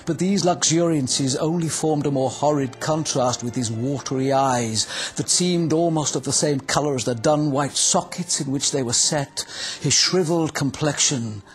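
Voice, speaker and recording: An actor playing a horrified, anguished young scientist in a dramatic gothic monologue, with music behind him. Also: male voice, mid-range voice, unhurried, lightly acted. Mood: appalled disgust.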